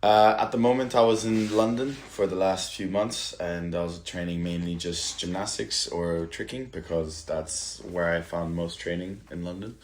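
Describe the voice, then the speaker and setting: A man from Italy who works as a stunt performer, chatting casually at home about his career with hesitant pauses, fillers and restarts. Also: low-pitched, steady tone, roomy sound, slight background noise. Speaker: stunt performer, podcast guest. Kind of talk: casual interview chat.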